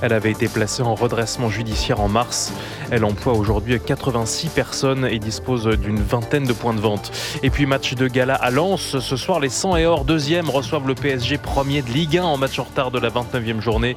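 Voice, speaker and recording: A man's voice: mid-range voice, fairly even intonation, brisk. Man reading a radio news bulletin, music under. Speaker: news reader.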